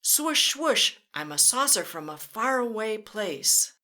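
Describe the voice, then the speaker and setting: Slow, sing-song woman reading to kids. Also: fairly high pitch, very animated, pushed and bright. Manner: slow, sing-song, playful sound effects; talks directly to children. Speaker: children's storyteller. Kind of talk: read-aloud storytime.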